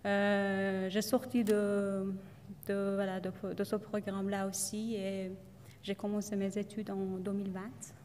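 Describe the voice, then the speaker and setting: Young woman, second-language speaker, halting testimonial talk, medium pitch, reverberant hall. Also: monotone, darkish tone, slight background noise. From Iran. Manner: hesitant, many fillers and restarts. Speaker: student speaker.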